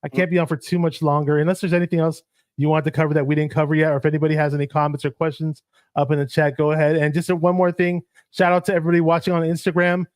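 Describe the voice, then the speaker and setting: Man, arabic first language, casual sports livestream, rambling chatty delivery, raised pitch, dry close sound. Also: flat delivery, racing, no room sound. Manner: rambling, shouts out chat viewers. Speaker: podcast co-host.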